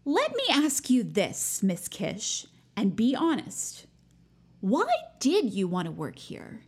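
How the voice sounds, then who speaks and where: High-pitched, pushy teenage schoolgirl. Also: wildly swooping pitch, takes it slowly, darkish tone, breathy edge, exaggerated voice. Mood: prim, probing. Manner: chirpy, pushy, self-important. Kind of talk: naturalistic audio drama acting.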